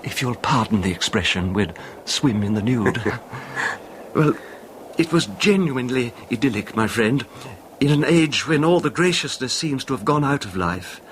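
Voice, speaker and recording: An actor playing a wealthy, nervous, middle-aged recluse husband in a hesitant, genteel 1970s radio drama style. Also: male voice, mid-range voice, lively intonation, moderate pace, lightly acted. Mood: nostalgic. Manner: hesitant, genteel, formal phrasing. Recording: noisy old radio recording.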